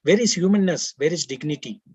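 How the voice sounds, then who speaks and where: A man's voice: fairly high pitch, lively intonation, fairly quick, husky, no room sound. A man with an Indian accent whose first language is Tamil, speaking as a webinar panelist with rhetorical questions and frequent restarts over a video call. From Karnataka, India. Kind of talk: earnest panel talk.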